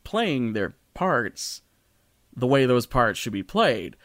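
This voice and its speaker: A man's voice: medium pitch, big pitch swings, slow pace, fairly bright tone, no echo, studio quality. A man co-hosting a podcast in casual discussion, rambling, often interrupting himself and swearing freely. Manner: rambling, self-interrupting, profane. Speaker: podcast co-host. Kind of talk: casual discussion of a stage musical.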